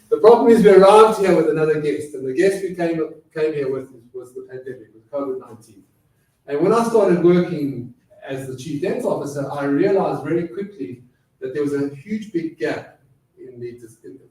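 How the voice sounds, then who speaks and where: A man's voice: on the high side, mellow. A middle-aged man with a South African accent shaped by years in Britain, giving a chatty, self-deprecating lecture full of fillers in a college lecture room. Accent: South African with British influence. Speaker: guest speaker. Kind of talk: lecture presentation. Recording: echoey room, voice far from mic.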